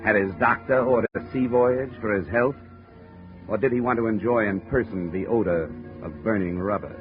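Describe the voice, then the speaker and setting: An actor playing a wry, hard-boiled investigator with an American accent, in dry 1950s radio detective style. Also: male voice, lowish voice, normal speed, close to an everyday voice. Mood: dry, narrating. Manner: dry, wisecracking. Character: wry, hard-boiled male insurance investigator undercover as sailor. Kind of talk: 1950s radio detective acting and first-person narration. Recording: dull old radio recording with music.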